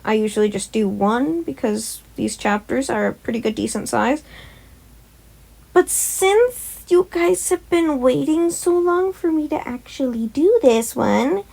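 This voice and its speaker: A woman's voice: sits high, lively intonation, measured pace, gravelly, mellow, rough recording. A woman who hosts a fan-fiction audio channel, reading stories and talking to listeners. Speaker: podfic reader and channel host. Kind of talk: scripted story narration and casual asides to listeners.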